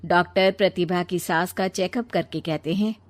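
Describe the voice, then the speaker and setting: A woman's voice: fairly low pitch, fairly even intonation, moderate pace. A woman narrating a scripted story as a storyteller.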